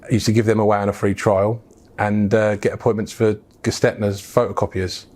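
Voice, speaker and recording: Low, flat-voiced English businessman. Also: fairly quick. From England. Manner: storytelling, frank, with fillers and restarts. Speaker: business founder, guest. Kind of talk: casual interview.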